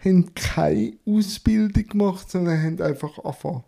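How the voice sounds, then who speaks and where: A male podcast host with a Swiss German accent talks in a relaxed, casual way, his voice gravelly with fry. He keeps tagging questions onto his sentences as he steers the interview. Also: high voice, moderate pace. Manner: relaxed, fills with "halt" and "oder?".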